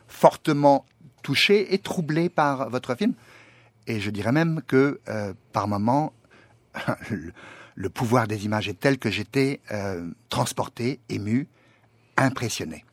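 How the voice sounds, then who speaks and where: A man's voice: mid-range voice, no echo. A man in his sixties asking long, formal, winding questions, courteous and hesitant, with many fillers. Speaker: guest questioning a filmmaker.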